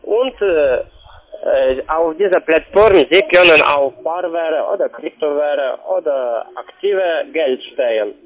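Halting foreign man on tinny phone line. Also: on the high side. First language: not German. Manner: halting, broken non-native German. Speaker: call centre agent. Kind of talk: sales phone call. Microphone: telephone.

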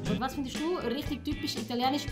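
A young Swiss woman with a Swiss German accent hosts a chatty talk in a living room, her voice a little high. Loud background music plays under her questions. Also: steady tone, quick pace. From Switzerland. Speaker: host. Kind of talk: casual interview chat.

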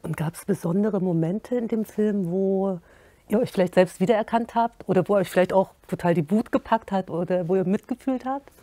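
A woman's voice: medium pitch, normal speed, mellow, no room sound. A middle-aged woman moderating a panel talk in a studio, welcoming her guests with enthusiasm and asking them questions. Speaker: host. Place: indoor studio set with curtains.

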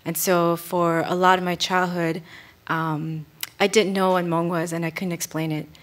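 A woman from France whose first language is French speaks on an arts panel. Her voice sits a little low, and she tells her story earnestly into a table microphone on stage. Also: level tone, normal speed. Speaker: panelist artist and community organizer. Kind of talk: panel talk. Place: stage at an indoor arts venue.

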